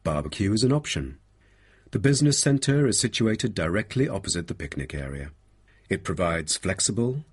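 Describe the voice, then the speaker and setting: A man reading a scripted guided presentation as a helpful guide with a husky voice. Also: on the low side. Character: helpful male guide presenting a park's facilities. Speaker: voice actor reading listening test parts. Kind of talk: scripted narration.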